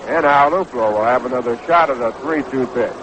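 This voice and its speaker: An American man calling baseball play-by-play on the radio in a measured, descriptive way. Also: medium pitch, a bit bright. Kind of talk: live radio sports commentary. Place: ballpark broadcast booth. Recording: noisy 1960s AM radio broadcast.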